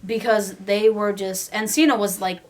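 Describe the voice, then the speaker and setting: A woman's voice: mid-range voice, steady tone, normal speed. A woman talking casually and enthusiastically as a panelist on a group podcast.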